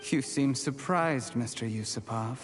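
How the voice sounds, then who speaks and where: An ambitious young official from a fantasy game, played by a man. His voice is mid-pitched, measured and deferential, covering a bitter temper. Faint music plays underneath. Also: close to an everyday voice. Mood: bitter, defiant. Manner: measured, polite. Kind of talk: video game voice acting.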